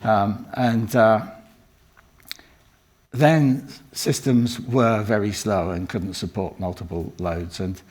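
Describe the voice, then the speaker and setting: An older man with a croaky voice from a cold, giving a rambling conference talk full of ums and restarts. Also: low voice, darkish tone. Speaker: conference speaker. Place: conference room.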